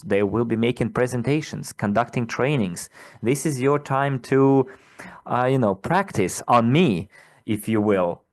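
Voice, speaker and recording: A man hosting a live broadcast Q&A, answering questions in a direct, firm and brisk way. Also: mid-range voice. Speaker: company presenter hosting a live Q&A.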